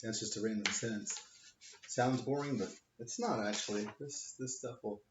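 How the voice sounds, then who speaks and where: A man's voice: fairly low pitch, normal speed, background noise. A man, a lawyer, reading his own book aloud in a wry, offhand way, stressing the punchlines. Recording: roomy, dull lo-fi home recording.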